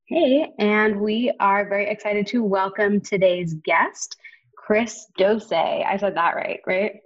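A woman's voice: medium pitch, takes it slowly, muffled recording. A woman hosting a podcast, introducing guests in an upbeat, friendly way. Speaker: podcast host.

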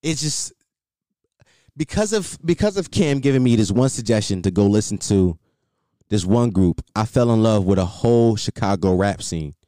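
A man's voice: lowish voice, lively intonation, moderate pace, dark tone, no room sound, clean studio sound. A young man rambling casually as a solo podcast host, repeating himself and filling with "like".

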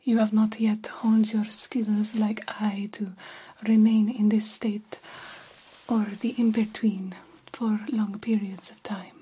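A woman voicing a very old, self-assured vampire doctor in a soft, formal, reassuring ASMR whisper. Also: medium pitch, little pitch movement, slow, breathy edge, low-quality recording. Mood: soothing, coaxing. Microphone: close microphone.

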